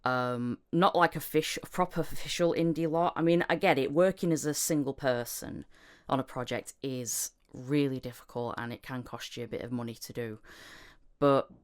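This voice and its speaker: A woman hosting a casual podcast chat, talking in a halting, rambling, self-deprecating way. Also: a bass voice, steady tone, clean tone. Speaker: podcast host and voice actor.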